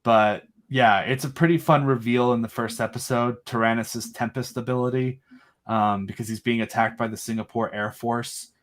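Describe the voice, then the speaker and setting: A man's voice: mid-range voice, steady tone, moderate pace. Eager rambling man explaining his creation. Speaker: guest, creator of an audio drama. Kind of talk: casual interview chat.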